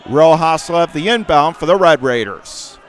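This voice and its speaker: A man doing live radio play-by-play of a basketball game in punchy short phrases, getting excitable on big plays. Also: a little high, normal speed, a little hiss or hum. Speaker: radio play-by-play sports announcer. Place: indoor school gymnasium during a game.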